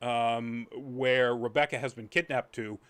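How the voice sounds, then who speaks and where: A man's voice: mid-range voice, fairly even intonation, a little slow, fairly bright tone, clear, resonant tone. Male podcast host, mid-range voice, conversational reviewer who rambles and drifts into tangents. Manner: rambling, trailing off into asides. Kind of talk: casual chat review.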